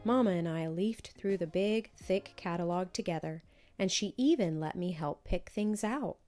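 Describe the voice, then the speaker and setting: A woman's voice: on the low side, normal speed, soft background music. A woman reading a children's story expressively, as scripted narration, acting out the dialogue. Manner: animated, acts out each character's dialogue. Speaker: storybook reader.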